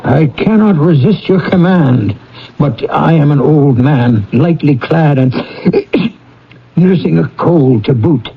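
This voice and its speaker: A defensive, frightened old miser tells his story with theatrical, wavering swings of pitch. His creaky voice sits in a dull old radio recording, with music clearly underneath. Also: animated, slow pace, full character voice. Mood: grudging, pleading. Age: older. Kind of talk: dramatic radio acting and storytelling.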